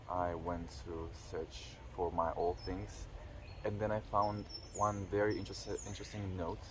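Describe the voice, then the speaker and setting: A man's voice: deep voice, background noise. A man of twenty-one talking casually to camera in a reflective vlog, hesitant, with many fillers and restarts. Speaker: vlogger.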